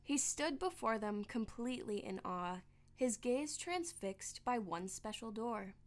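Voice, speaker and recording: A woman narrating a storybook in rhyming verse with expressive, theatrical storytelling. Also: a little high, measured pace.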